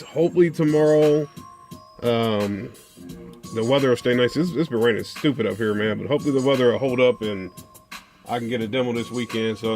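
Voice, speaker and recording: An American man hosting a car audio video, chatting casually to viewers in a colloquial, slangy way and often tagging phrases with "man". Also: medium pitch. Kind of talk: casual unboxing and product chat to viewers.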